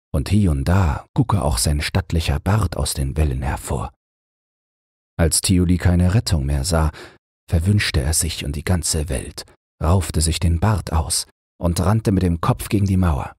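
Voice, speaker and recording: A male audiobook narrator tells a bedtime fairy tale. His voice is low and very husky, recorded dry with hardly any room around it. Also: unhurried, a little mellow, no echo.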